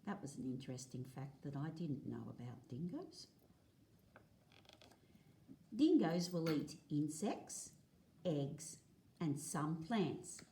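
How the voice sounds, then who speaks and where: A woman reading aloud as a teacher, explaining in a measured way, phrase by phrase. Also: deep voice, dark tone, a little hiss or hum. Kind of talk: read-aloud lesson of a non-fiction picture book. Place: indoors at a table, filming a book.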